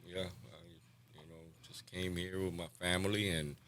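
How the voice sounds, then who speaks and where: Low, bright-toned man from Cuba. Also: fairly even intonation, normal speed. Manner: candid, soft-spoken, trails off quietly. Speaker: guest. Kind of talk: recovery podcast interview.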